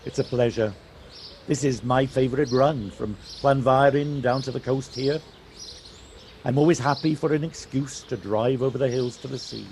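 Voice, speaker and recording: An actor playing a friendly, gently teasing countryman who drives and chats in an unhurried way, in an audio play. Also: medium pitch, natural voice. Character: friendly Welsh countryman, chatty driver fond of hills. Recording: home device recording, light background noise. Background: birdsong.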